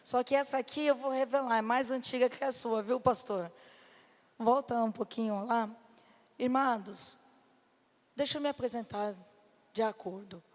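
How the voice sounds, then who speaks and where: A woman with a Brazilian Portuguese accent and a slightly hoarse voice, giving a personal testimony to a church congregation as a guest missionary. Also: a little high, normal speed, pauses often. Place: church hall. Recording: reverberant hall sound through PA.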